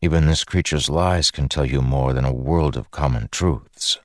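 A man's voice: very deep voice, moderate pace, dry recording, studio quality. A man voicing a stern, guarded war leader in a fantasy audiobook, speaking in a firm, blunt, stubborn way. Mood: self-urging.

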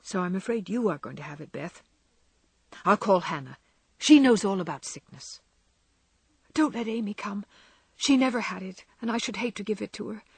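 Brief: a woman reading a fiery, self-blaming teen girl, breathy, dry sound. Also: medium pitch, moderate pace, pauses often, no room sound. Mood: anxious. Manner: earnest and emphatic. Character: impulsive, warm-hearted teenage girl, self-reproaching.

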